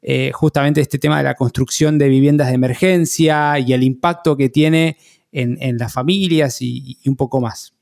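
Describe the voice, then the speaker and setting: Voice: mid-range voice, fairly even intonation, moderate pace, vocal fry, darkish tone. A podcast host, interviewing conversationally with informal, slightly hesitant phrasing.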